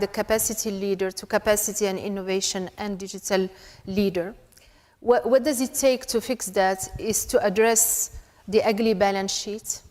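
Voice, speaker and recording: A woman's voice: mid-range voice, darkish tone. A woman from Morocco speaking on a panel, laying out her points as a numbered list. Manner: lays out points in numbered lists. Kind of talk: panel discussion. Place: conference hall. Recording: echoey conference hall.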